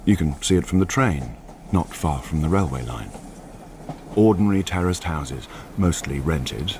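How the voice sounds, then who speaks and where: A man's voice: very deep voice, background noise. A man acting in a radio drama as a brooding crime novelist who muses aloud and questions himself as he narrates. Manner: musing, asks himself questions. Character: brooding male crime novelist narrating his own plot. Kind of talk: intimate radio drama monologue.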